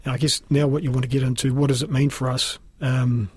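A man with a New Zealand accent explaining things in a measured, matter-of-fact way, like a school principal in an interview. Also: mid-range voice, level tone, husky, no echo.

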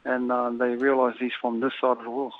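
A Samoan-born boxer being interviewed, talking haltingly and filling pauses with "you know". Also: male voice, mid-range voice, completely flat delivery, no echo. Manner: halting, leans heavily on "you know" fillers. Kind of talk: phone interview. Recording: tinny phone line.

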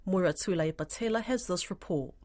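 A woman's voice: fairly low pitch, a little fast, darkish tone, slight background noise. Woman newsreader introducing a report. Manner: crisp, formal newsreading. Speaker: news presenter. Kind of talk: scripted news introduction.

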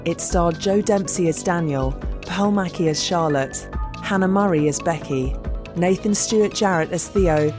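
A woman with a lowish voice reads scripted closing credits as an announcer. Music plays clearly beneath her. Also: moderate pace. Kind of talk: scripted narration of end credits.

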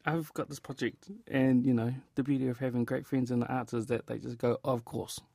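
A man with a New Zealand accent talks casually as an interview guest. His medium-pitched voice has an informal, storytelling manner. The sound is very dry and free of room echo. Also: flat delivery, fairly quick, gravelly, mellow. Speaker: theatre director and actor being interviewed.

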